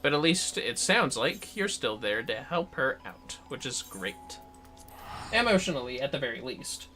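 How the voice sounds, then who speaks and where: A man's voice: medium pitch, sing-song, a little slow, pushed and bright, faint music behind. A man chatting casually and a bit ramblingly as he livestreams a game, swearing freely. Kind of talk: casual chat while gaming.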